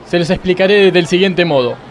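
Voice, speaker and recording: An actor with an Argentine accent playing a melodramatic, plaintive judge in a comic radio play. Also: male voice, sits high, a little fast, slight background noise. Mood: woeful, earnest. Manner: plaintive, theatrical. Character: woeful, melodramatic male judge lamenting his petty troubles. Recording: radio sketch with music underneath.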